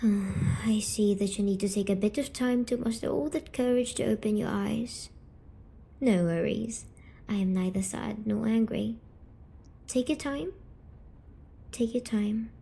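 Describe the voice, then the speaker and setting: A woman tells a scripted ASMR story in the role of a patient, eerie sleep demon who means to comfort. Her voice is dark and mellow at a medium pitch. She speaks very slowly and softly, coaxing the listener and sliding into whispers. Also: vocal fry. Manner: soft, slow, coaxing delivery slipping into whispers.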